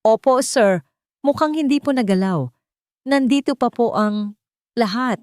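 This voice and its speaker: A woman's voice: on the low side, animated, moderate pace, dark tone, dry recording, clean studio sound, lightly acted. Woman voicing a nervous, deferential policeman. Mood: nervous, polite. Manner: polite, respectful.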